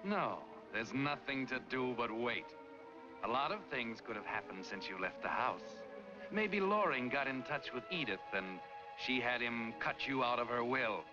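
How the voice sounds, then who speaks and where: An actor playing a knowing, taunting, ominous narrator in a 1940s film noir. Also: male voice, medium pitch, expressive, close to an everyday voice. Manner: measured, ominous, sardonic. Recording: muffled 1940s film soundtrack under music.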